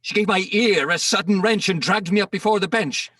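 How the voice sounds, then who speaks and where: A man giving a theatrical, measured dramatic reading of rhyming comic verse as the narrator. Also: high voice, a bit bright, no room sound, recorded from a distance. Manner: theatrical, measured storytelling delivery. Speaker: poem narrator.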